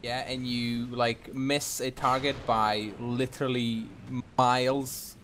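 A man's voice: medium pitch, little pitch movement, slow pace, fairly bright tone. A man chatting casually and calling out to a friend while gaming, bantering and swearing freely. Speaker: gamer playing with a friend. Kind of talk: casual gaming chat, callouts. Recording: clear background game noise.

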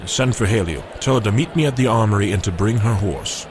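Male actor as young captain, low pitch, measured formal speech, earnest, noisy ambience. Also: natural voice. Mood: brisk, urgent. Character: earnest young newly named captain of fantasy warriors. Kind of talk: fantasy audio drama acting.

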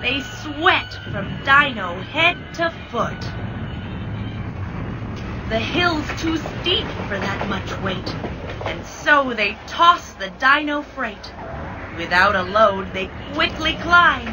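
A woman giving a measured, expressive scripted narration of a rhyming children's book. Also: on the high side, background noise. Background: music.

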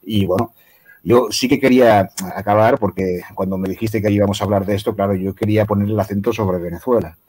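A man on a video call, lecturing quickly and at length as a guest researcher. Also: on the low side, steady tone, darkish tone, dry recording, low-quality recording.

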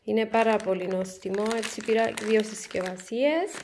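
A woman's voice: mid-range voice, noisy background. A woman, chatting to camera and showing her groceries one by one in a casual home vlog. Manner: chatty, warm sign-off, item-by-item show and tell. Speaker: vlogger. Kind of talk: casual supermarket haul vlog. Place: bright room by a window, indoors.

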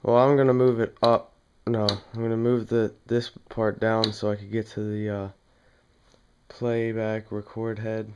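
Man with a flat, low-pitched voice. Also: muffled recording, background noise. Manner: plain, halting, talking while working with his hands. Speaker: demonstrator in a home how-to video. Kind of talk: casual hands-on tutorial talk. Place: small dim room indoors at home.

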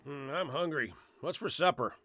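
A man's voice: on the high side, normal speed, pushed and bright, soft background music. An actor playing a tired, plain-spoken working father in a radio drama. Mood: tired, concerned.

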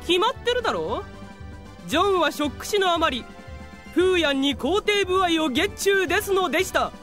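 A haughty rich teenage boy, boasts in grand theatrical fashion for an audio drama. The voice is very high and bright and swings widely in pitch. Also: sing-song, normal speed, faint music behind, heavily acted. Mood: smug. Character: pompous, boastful rich male high school student. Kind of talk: Japanese audio drama acting.

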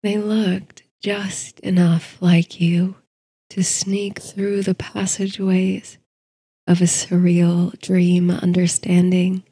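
Woman, lowish voice, very unhurried, soothing bedtime narration, hushed, soft breathy edges. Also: level tone, no room sound. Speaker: host and narrator of a sleep story series. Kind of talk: slow bedtime story narration, guided meditation.

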